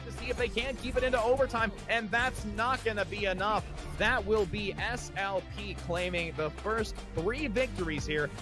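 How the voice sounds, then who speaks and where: A man giving play-by-play commentary on an esports match in a rapid, urgent way. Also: high-pitched, over music.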